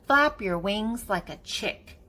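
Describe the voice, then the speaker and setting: An older woman reading a picture book aloud to young children in a playful, animated way as the host. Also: medium pitch, slow pace, noisy background. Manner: playful, acting out animal sounds.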